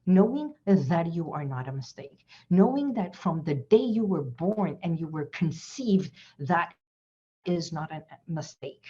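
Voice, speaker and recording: A woman's voice: on the low side, animated, a little slow, dark tone, dull audio. A woman hypnotherapist giving an earnest, reflective inspirational talk as a guest in an online session, repeating her key phrases.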